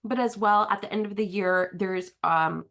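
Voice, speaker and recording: A woman's voice: medium pitch, moderate pace, vocal fry, a bit bright. A woman with a slight cold, a little sniffly, hosting and presenting an online webinar in a casual, friendly way with frequent fillers. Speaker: webinar host, marketing manager. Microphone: video call.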